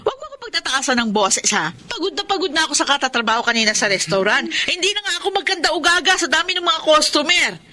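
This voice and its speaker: A woman's voice: high voice, sing-song, fast, hardly any pauses, pushed and bright, full character voice. An actress with a Filipino accent playing a weary, hard-working, stern single mother in a radio drama. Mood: scolding.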